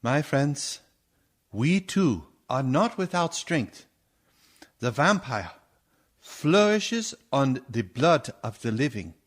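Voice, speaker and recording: An actor playing a grave, commanding older professor and vampire hunter in a theatrical radio play, with solemn, oratorical delivery and stilted phrasing. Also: male voice, mid-range voice, big pitch swings, slow. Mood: grave, warning. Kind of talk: theatrical radio drama acting.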